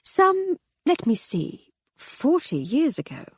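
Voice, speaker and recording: A woman voicing a witty, aristocratic widow of about forty, speaking in a brisk, amused, airy manner. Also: high-pitched, wildly swooping pitch, no room sound, voice pushed up, full character voice.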